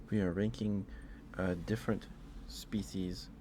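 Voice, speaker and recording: A man's voice: lowish voice, fairly even intonation, takes it slowly, noisy background. A man who streams ASMR, chatting casually and musing aloud in a hesitant, rambling way. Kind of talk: casual ASMR livestream chat.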